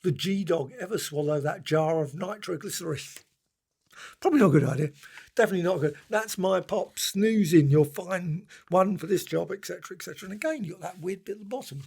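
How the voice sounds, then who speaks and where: A man's voice: high-pitched, brisk, creaky, a little mellow, breathy edge. A man giving a casual, unscripted review commentary, musing and rambling as he goes and often trailing off. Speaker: book and comic reviewer.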